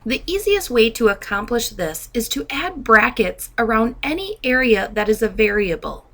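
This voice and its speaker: A woman with a fairly high voice narrates a software training tutorial. She speaks as an instructor, methodically guiding the listener through each step. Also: big pitch swings, moderate pace.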